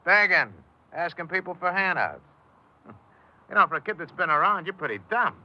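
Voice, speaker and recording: Streetwise chiseler, animated and mocking, higher register, muffled old radio crime show. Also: male voice, normal speed. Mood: mocking, probing. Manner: wisecracking, streetwise, needling. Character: slick, mocking small-time crook and chiseler. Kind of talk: 1950s radio drama acting. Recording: muffled 1950s radio recording.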